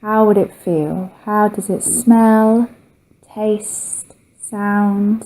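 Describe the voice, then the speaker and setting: A woman leads a guided relaxation with a deeply relaxed, dark and mellow tone at a medium pitch. She speaks slowly and gently, leaving long pauses between phrases. Her delivery is soothing and unhurried, that of a yoga nidra teacher. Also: low-quality recording.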